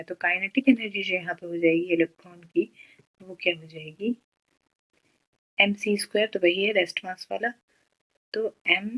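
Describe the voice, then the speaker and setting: Physics tutor: Indian woman, lowish pitch, explanatory, step-by-step delivery with rhetorical questions and frequent check-ins. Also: creaky, a bit bright, rough recording. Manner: explanatory, checks in often and poses questions then answers them. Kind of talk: step-by-step problem explanation, Hindi mixed with English terms.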